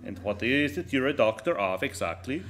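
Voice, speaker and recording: Skeptical American radio interviewer acted by a man, middle-aged, medium pitch, dry exasperation, live stage comedy. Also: animated, a little fast, fairly bright tone, natural voice. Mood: baffled. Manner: dry, incredulous. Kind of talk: live comic radio theater acting. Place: theater stage with live audience.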